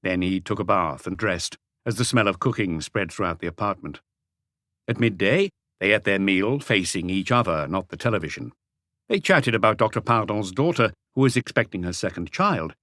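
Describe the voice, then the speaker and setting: Low-voiced male audiobook narrator, lively intonation. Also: moderate pace, no echo, studio quality. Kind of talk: scripted narration of a mystery novel.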